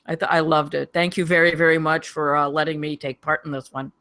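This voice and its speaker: Female reviewer, forceful and crisp-toned, halting, emphatic casual talk. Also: sits low, level tone. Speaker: script reader giving feedback. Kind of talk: casual feedback.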